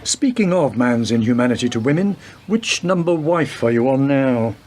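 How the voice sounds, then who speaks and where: Man acting as senior detective, dry, world-weary, terse probing delivery, mid-pitched voice, radio play, background noise. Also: lively intonation, moderate pace, natural voice. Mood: dry, pensive. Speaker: actor in a community radio play. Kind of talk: radio drama dialogue.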